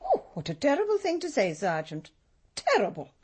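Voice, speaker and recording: An actress playing a genteel, easily shocked refuge matron in a radio drama, with an English accent and a proper, fluttery, self-dramatising manner. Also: female voice, sits high, wildly swooping pitch, moderate pace, darkish tone, slightly rough, heavily acted. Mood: indignant. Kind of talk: BBC radio drama acting.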